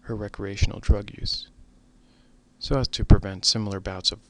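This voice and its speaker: Low, monotone man presenting a medical case. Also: moderate pace. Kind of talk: medical case lecture narration.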